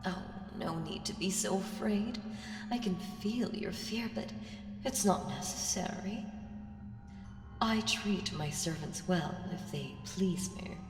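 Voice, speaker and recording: Casting: woman as smug ancient evil being for audio roleplay, seductive and condescending, very slow delivery, drenched in cavernous reverb. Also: mid-range voice, natural voice. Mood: smug reassurance. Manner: slow, teasing, condescending, breaking into breathy whispers. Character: ancient evil female entity, smug, seductive, toying with mortals. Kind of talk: ASMR audio roleplay monologue. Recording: echoey hall reverb, distant, music behind.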